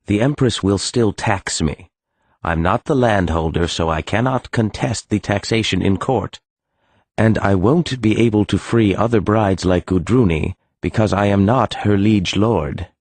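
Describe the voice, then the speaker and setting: A man playing a dutiful, formal estate steward in an audiobook, speaking in a measured way. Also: on the low side, a little mellow, no room sound. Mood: concerned. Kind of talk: full-cast audiobook acting.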